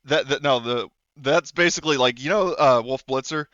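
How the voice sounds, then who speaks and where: A man narrating casually as a tabletop game master, rambling and halting with frequent self-corrections. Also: medium pitch, fairly bright tone, no echo, muffled recording.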